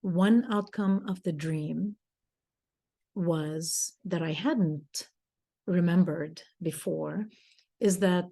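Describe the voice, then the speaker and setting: Slow-talking woman telling a story. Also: fairly low pitch. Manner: rambling, restarts, soft laughs. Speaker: co-host and speaker. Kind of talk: storytelling in casual chat.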